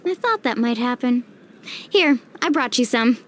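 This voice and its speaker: A woman's voice: on the high side, animated, unhurried. A woman playing a confident, friendly, teasing young athlete in a video game, breezy and encouraging. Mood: cheerful.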